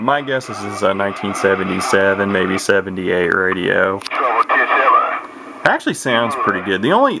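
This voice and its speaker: A man's voice: on the low side, gravelly, very noisy. A man giving a casual, unscripted product walkthrough in a rambling, matter-of-fact way, as a hobbyist talking near his camera. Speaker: radio collector giving a product demonstration.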